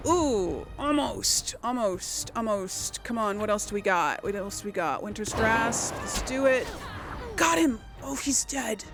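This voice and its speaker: A woman's voice: a little high, expressive, measured pace. A woman doing casual let's play commentary, informally talking herself through each move as she plays. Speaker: gamer and let's play host. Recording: game music behind the voice. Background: video game music.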